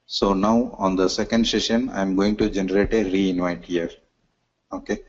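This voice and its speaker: A man giving a halting, step-by-step software demonstration as a product demonstrator in a webinar. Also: low voice, flat delivery. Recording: dull lo-fi webinar audio.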